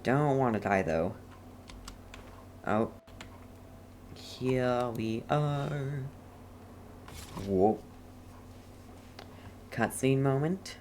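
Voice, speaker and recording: A woman's voice: extremely low pitch, very slow, pauses often, a little mellow. A woman giving casual, playful commentary as she streams a game, muttering reactions to herself. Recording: faint noise, keyboard typing behind. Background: keyboard typing.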